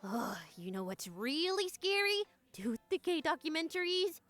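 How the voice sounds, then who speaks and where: A woman's voice: very high voice, big pitch swings, normal speed, fairly bright tone, faint music behind, exaggerated voice. A woman playing an anxious, whiny, dramatic young schoolgirl in a visual novel game, fixated on clean teeth. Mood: grumbling.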